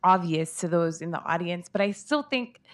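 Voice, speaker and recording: Lowish-voiced American woman moderating casually. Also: moderate pace. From Northern Virginia, United States. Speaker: event moderator. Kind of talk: casual on-stage conversation. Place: bookstore event before an audience.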